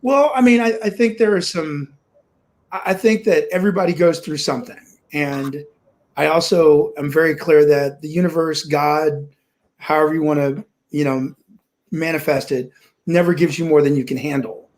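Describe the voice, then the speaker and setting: A man's voice: on the high side, steady tone, normal speed, a little mellow. Upbeat man in his forties. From Kansas, USA. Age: 40s. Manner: upbeat, optimistic, many restarts. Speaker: guest. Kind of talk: casual interview storytelling. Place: home room. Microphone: video call.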